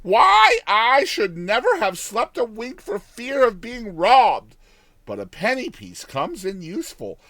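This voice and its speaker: A man's voice: sits high, wildly swooping pitch, slow, pushed and bright, no room sound, pitched above the performer's own voice, heavily acted. A man voicing a cheery, ever-optimistic old countrywoman from a storybook, exclaiming in folksy rustic speech. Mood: surprised, contented.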